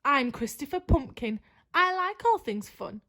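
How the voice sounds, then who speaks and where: A woman acts out an eager young pumpkin lad from a storybook, kindly and fond of fun. The voice is very high and lively, with a sunny, friendly manner. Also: measured pace, exaggerated voice. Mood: cheerful. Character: cheerful, kindly young male pumpkin who loves fun.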